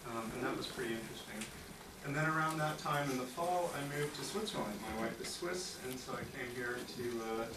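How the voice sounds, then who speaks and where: Man, casual presentation talk, mid pitch, distant mic, roomy hall sound. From United States. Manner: relaxed, chatty, with fillers and small laughs. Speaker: conference speaker. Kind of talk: tech meetup talk. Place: meeting room at a tech office. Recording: echoey room, distant mic, noisy.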